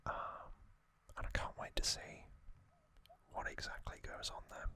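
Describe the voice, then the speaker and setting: A man in his thirties whispering casual fan commentary as an ASMR host, soft and unhurried with long pauses.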